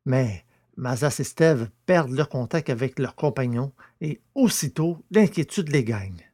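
A man hosting a podcast and narrating a science fiction audio novel, in a clear, even storyteller's reading. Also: medium pitch, measured pace.

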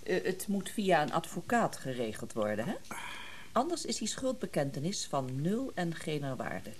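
An actress playing a stern middle-aged wife in a radio drama, sharp-tongued, sarcastic and bossy. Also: female voice, low voice, very animated, normal speed. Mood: cold, nagging. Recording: radio play with faint background noise.

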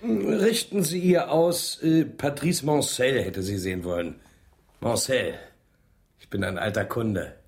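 An actor playing an inquisitive bon-vivant gentleman in a radio crime drama, measured, knowing and probing. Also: mid-range voice, close to an everyday voice.

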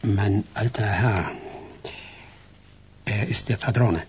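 An actor playing the earnest, loyal son of a mafia boss in a radio drama, with a raspy voice and understated manner. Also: male voice, on the low side, takes it slowly, slight background noise. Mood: confidential. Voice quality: raspy, husky. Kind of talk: German radio crime drama acting.

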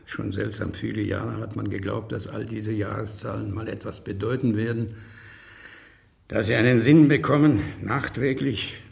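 An actor playing an embittered old communist man under questioning, reflective and wry, with a gravelly voice, in a radio drama. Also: fairly low pitch, fairly even intonation, normal speed, darkish tone. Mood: wistful, weary. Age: older.

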